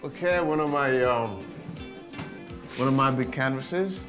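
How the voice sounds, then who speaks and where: A man's voice: medium pitch, a little slow, music in the background. A man with a Senegalese accent talking informally in an interview as an artist, often repeating words and phrases. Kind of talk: casual interview talk.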